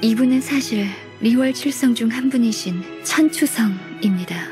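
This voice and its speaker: Woman acting modest young secretary, fairly high voice, soft-spoken, formal and polite, video game character, faint music behind. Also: normal speed, close to an everyday voice. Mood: polite, earnest. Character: gentle, modest, dutiful young-sounding female secretary.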